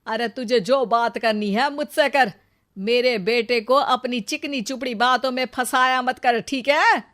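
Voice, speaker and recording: A woman playing a sharp-tongued, stingy older mother-in-law in a cartoon moral story. Her voice sits fairly high, with plenty of scolding and sarcasm. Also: a little slow. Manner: scolding and sarcastic, bitter asides.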